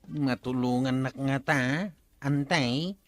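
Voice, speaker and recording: A man's voice: mid-range voice, steady tone, takes it slowly, lightly acted. An actor plays a comic village creditor with an Ilocano accent. He nags and pleads impatiently, in the manner of a radio comedy drama. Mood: pleading.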